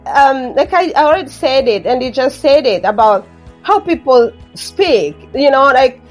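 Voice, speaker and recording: Woman with a higher voice. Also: lively intonation, normal speed, soft background music. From Rwanda. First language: Kinyarwanda. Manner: earnest, repeats phrases, frequent ums. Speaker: guest author. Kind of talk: interview conversation.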